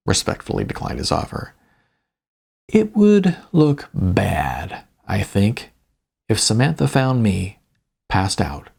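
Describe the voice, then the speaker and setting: A man's voice: on the low side, vocal fry, darkish tone, slightly rough. A man reading his own novel aloud in a wry, conversational storytelling manner. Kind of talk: audiobook narration with spoken asides.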